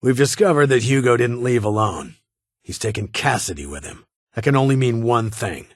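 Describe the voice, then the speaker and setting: An actor playing a stern, weary security chief in a video game, with a husky voice and a formal, clipped, authoritative manner. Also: male voice, mid-range voice, lively intonation, normal speed, no echo, close to an everyday voice. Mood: urgent, commanding. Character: stern, weary male vault security chief, guarded but honorable.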